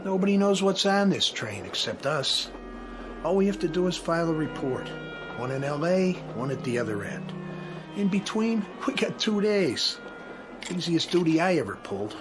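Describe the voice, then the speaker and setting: An actor playing a cocky, laid-back American federal agent in a radio drama, with a casual wisecracking manner. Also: male voice, fairly high pitch, very animated, moderate pace, music in the background. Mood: cocky, relaxed.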